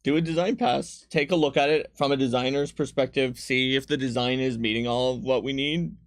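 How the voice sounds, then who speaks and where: A man's voice: mid-range voice, steady tone, fairly quick, no room sound. A man speaking as a game designer in an unscripted panel chat, explaining things and often jumping in. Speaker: game designer on a company stream.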